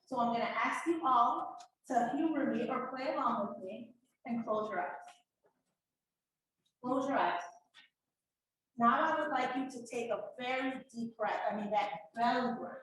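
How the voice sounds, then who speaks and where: A woman from a faith-based coalition, speaking at an event and leading a guided contemplation with slow, calming counted breaths. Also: fairly high pitch. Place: event hall. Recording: distant, echoey room with faint noise.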